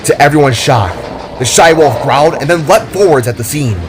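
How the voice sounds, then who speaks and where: A man's voice: on the high side, animated, fairly quick. Man narrating a dramatic story over music.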